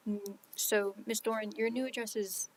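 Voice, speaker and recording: A woman's voice: a little high, fairly even intonation, moderate pace, low-quality recording. Woman, city official, careful clarifying questions, faint background hiss. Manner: careful, checking details. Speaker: city representative.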